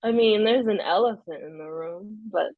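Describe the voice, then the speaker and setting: A woman's voice: mid-range voice, moderate pace, dry recording, narrow, tinny audio. Hesitant Haitian Creole-native woman author. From South Florida. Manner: hesitant, many fillers, soft laughs. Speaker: author guest. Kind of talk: casual interview chat.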